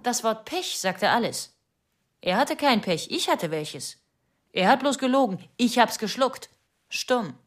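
A woman's voice: a little high, big pitch swings, measured pace. An actress playing a bitter, suspicious wife confiding about her husband in a plain, matter-of-fact radio drama confession.

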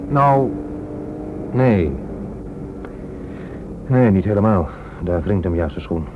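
A man's voice: sits low, expressive, slow. A man acting a weary, corrupt police inspector in a radio play, frankly explaining and justifying himself. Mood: rueful, self-justifying. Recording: muffled lo-fi radio recording, strong noise.

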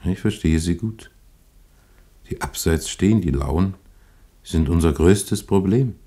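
A moralising, zealous pastor in a radio drama, with an extremely deep, dark voice. His delivery is deliberate and emphatic, with a husky grain and a preacher's earnestness. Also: full character voice. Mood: fervent, insistent. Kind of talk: German radio play acting.

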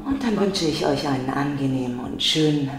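An actor playing a calm, soothing relaxation instructor leading a guided breathing exercise in a radio play. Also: male voice, on the high side, brisk, heavy background noise. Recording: echoey room under loud music.

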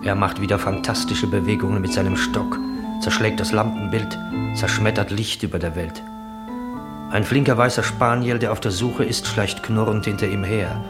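An actor as the narrator of a German radio drama from the 1960s, delivering literary scene descriptions in a measured way. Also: male voice, lowish voice, steady tone, over loud music, close to an everyday voice. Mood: measured, descriptive. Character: narrator describing a grotesque night town.

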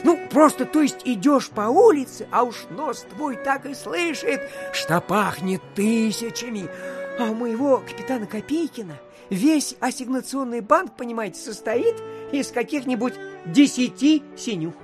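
A garrulous small-town postmaster in an audio drama. He tells his tale pompously, padding it with filler phrases and dramatic pauses. His pitch swings widely, and music plays softly behind him. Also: expressive. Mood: marvelling, excited. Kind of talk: theatrical storytelling in an audio play.

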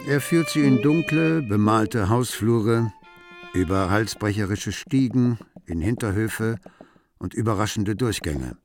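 A man narrating a literary radio play in a measured, dramatic storytelling manner. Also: fairly low pitch, lively intonation. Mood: measured narration. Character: literary narrator telling of a poor dreaming artist. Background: music.

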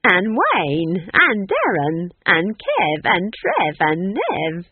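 A woman's voice: medium pitch, huge pitch swings, extremely slow, slightly rough, no room sound, phone-quality sound, heavily acted. A woman voicing a jolly, boisterous old witch in an audiobook, exuberant and doting as she calls out. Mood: proud delight. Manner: exuberant, cooing, calling out.

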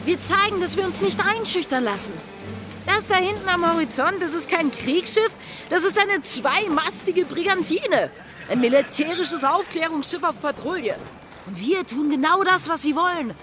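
A woman's voice: very high voice, lively intonation, moderate pace, over music. An actress playing a bold, defiant pirate woman in a German radio drama, challenging and insistent. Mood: defiant, urgent. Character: bold, defiant female pirate plotting mutiny, fearless. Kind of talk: German audio drama acting.

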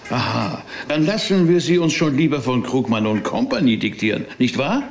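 A man's voice: mid-range voice, expressive, moderate pace, natural voice. A man playing a sceptical, sarcastic rabbi in a radio drama, speaking in a dry, pointed, formal way.

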